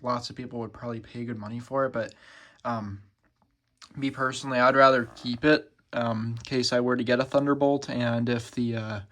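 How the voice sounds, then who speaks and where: A man's voice: mid-range voice, steady tone, moderate pace, a bit bright. A young man with a sore throat, talking casually through a show-and-tell vlog with plenty of ums and uhs. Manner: rambling, many ums and uhs, loose and casual. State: sore throat, feeling sick. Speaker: siren collector vlogger.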